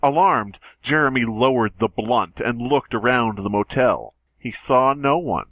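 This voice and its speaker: A man's voice: mid-range voice, lively intonation, a little slow, a bit bright, dry recording. A man co-hosting a podcast, reading a horror story aloud with jokey, sardonic, profane asides. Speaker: podcast co-host.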